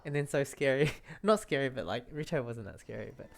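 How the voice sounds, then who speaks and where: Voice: mid-range voice, animated, a little fast, gravelly, background noise. A game streamer giving casual, informal gameplay commentary in a giggly manner.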